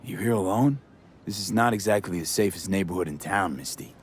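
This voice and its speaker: A man playing a guarded but earnest young street mercenary mourning a friend in a video game, low-key and clipped. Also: a little fast, a little hiss or hum, lightly acted. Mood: gentle, concerned. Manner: low-key, clipped sentences dropping first words. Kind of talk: cinematic video game acting.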